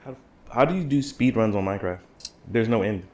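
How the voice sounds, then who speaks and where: Young male co-host, low-leaning voice, playful and animated retelling. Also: brisk, a little hiss or hum. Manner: animated, joking, retells plots in a rush. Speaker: podcast co-host. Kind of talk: casual chat about games and movies.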